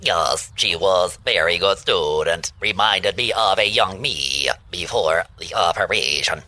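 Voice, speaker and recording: A man playing a male voiceover teacher who speaks through an electrolarynx, in a flat, stiff buzz. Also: deep voice, measured pace, faint music behind, full character voice. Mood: deadpan.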